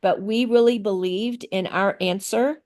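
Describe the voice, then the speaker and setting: A middle-aged woman answering interview questions about teaching on a video call, speaking thoughtfully with many pauses and fillers. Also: medium pitch, steady tone, darkish tone. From grew up in Thailand.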